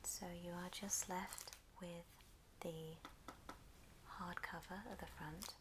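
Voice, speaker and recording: A woman whispering softly and breathily as she gently hosts an unhurried ASMR craft tutorial, explaining things step by step. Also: lowish voice, fairly even intonation. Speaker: host of an ASMR craft channel. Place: indoors at a friend's house. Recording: clearly noisy room, clicking sounds.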